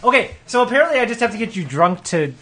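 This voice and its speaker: A man's voice: high voice, big pitch swings, fast, pushed and bright, faint music behind. A man hosting a casual podcast, giggly and teasing his friends. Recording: clear background noise.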